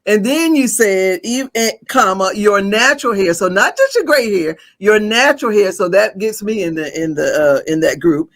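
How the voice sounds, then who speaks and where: Effusive podcast host, a woman, lively pitch, quick to laugh. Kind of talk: casual chat.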